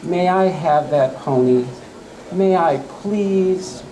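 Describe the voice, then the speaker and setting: A man's voice: fairly high pitch, slow pace, warm tone, voice pushed up, poor audio. A man voicing a whiny, nagging little girl from a storybook poem, begging emphatically. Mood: pleading. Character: pleading, nagging little girl who wants a pony. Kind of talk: storybook poem read aloud. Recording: live event with faint room noise.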